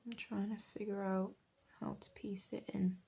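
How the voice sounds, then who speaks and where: Croaky woman in her late 20s. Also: medium pitch, flat delivery, normal speed, warm tone, muffled recording. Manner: chatty, rambling, self-correcting. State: croaky from a cold. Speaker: artist and printmaker. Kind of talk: casual chat over studio work.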